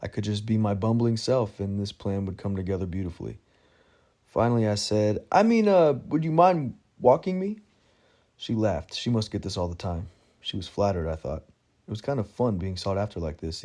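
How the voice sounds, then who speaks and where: Male audiobook narrator, low and mellow, dry self-deprecating wit. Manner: wry, self-mocking storytelling. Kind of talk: first-person audiobook narration.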